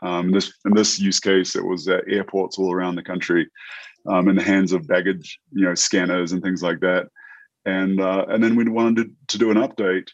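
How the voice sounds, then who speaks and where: A New Zealand man in his fifties with an American accent, a tech entrepreneur talking through an interview in a halting way, full of restarts and fillers. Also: low voice, little pitch movement, dry recording, dull audio. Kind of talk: casual interview chat.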